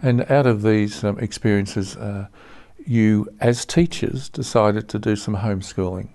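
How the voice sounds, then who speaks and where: A man hosts a television studio interview. His voice sits fairly low. He asks friendly questions, often pausing with ums and offering brief affirmations. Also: mellow. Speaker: TV show host.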